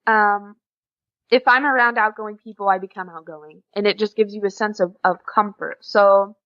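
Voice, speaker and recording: A woman's voice: medium pitch, steady tone, normal speed, fairly bright tone, lo-fi sound. A young American woman chatting casually and openly as a guest in an interview. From USA. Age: young adult. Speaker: podcast guest, exchange student.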